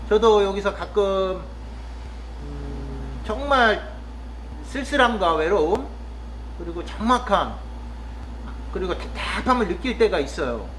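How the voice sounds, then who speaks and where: A man from Korea's Chungcheong region talking casually and reflectively to the camera, pausing often with fillers as he muses. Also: high voice, fairly bright tone, slight background noise, rough recording. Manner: thoughtful, musing, with frequent pauses and fillers. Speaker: vlogger living in the countryside.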